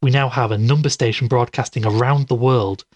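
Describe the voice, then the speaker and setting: An actor playing an earnest, curious podcaster investigating a mystery in an audio drama, with a husky voice. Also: male voice, medium pitch, fairly even intonation, brisk, a little mellow, no echo, muffled recording, natural voice. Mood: earnest narrating. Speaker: podcast host. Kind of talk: audio drama dialogue and narration.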